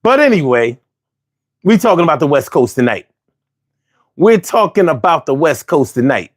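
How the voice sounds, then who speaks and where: Animated, argumentative man from Philly. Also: fairly high pitch, normal speed. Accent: Philadelphia. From Philadelphia. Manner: animated, argumentative, slangy, restarts often. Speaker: host. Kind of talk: casual opinionated monologue.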